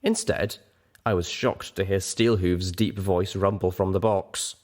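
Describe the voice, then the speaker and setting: A man reading a first-person story as narrator, in an expressive, dramatic style. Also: sits low, measured pace, slight background noise.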